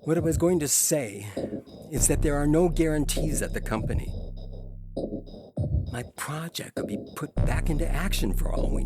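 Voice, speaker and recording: A man acting a patient, hopeful scientist husband with a gentle, reassuring manner in an audio story. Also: on the high side. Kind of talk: audio fiction dramatic reading.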